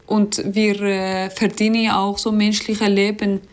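A woman's voice: mid-range voice, flat delivery, measured pace. A woman speaking German as a second language, answering interview questions hesitantly with many fillers and restarts. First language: not German.

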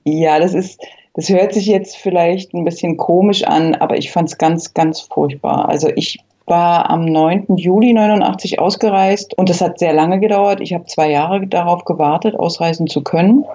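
Female interviewee, fifties, from Berlin. Warm, relaxed timbre, reflective recollection, somewhat dull sound. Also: fairly low pitch, moderate pace. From Berlin, East Germany. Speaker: interview guest, eyewitness.

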